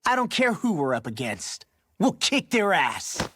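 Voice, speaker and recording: Higher-pitched scrappy young man. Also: big pitch swings, a little slow, fairly bright tone, slightly rough, heavily acted. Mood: frustrated, determined. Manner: plain-spoken, punchy. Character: blunt, scrappy young male fighter. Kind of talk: scripted video game voice acting.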